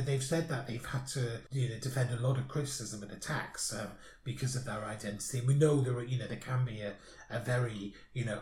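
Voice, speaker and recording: Male podcast panelist, average pitch, thoughtful conversational commentary, noticeably roomy sound. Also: darkish tone, slight background noise. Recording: echoey room sound.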